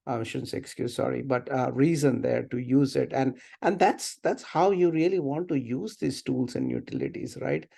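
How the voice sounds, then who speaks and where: A middle-aged man teaching over a video call. His voice is mid-pitched and full of creak, and he speaks haltingly, often repeating and rephrasing as he leads discussion. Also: big pitch swings, mellow. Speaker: webinar instructor. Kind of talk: informal class discussion. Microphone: video call computer mic.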